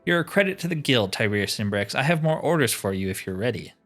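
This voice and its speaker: Earnest fantasy guild fighter, read by a male gamer, formal and declarative, lively pitch, game music underneath. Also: fast talker, close to an everyday voice. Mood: official, approving.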